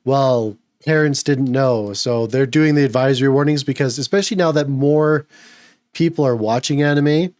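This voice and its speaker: A man hosting a casual podcast, getting over a cold so his voice is a little gravelly, opinionated and prone to stumbling restarts. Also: mid-range voice, normal speed, darkish tone, dry recording, clean studio sound. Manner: opinionated, rambling with repeated restarts. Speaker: podcast host.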